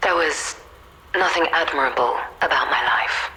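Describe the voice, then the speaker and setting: A woman's voice: low-pitched, normal speed, pushed and bright, rough recording. An actress playing a weary, self-sacrificing woman warrior in a video game, speaking solemnly and tenderly. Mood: wistful farewell. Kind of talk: dramatic video game monologue.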